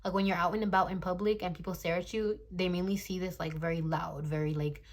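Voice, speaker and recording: A woman's voice: lowish voice, fairly even intonation, fast talker. A woman in her twenties giving a casual tarot reading to camera, chatty and blunt, swearing freely and laughing often, recorded on a phone. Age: 20s. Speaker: tarot reader.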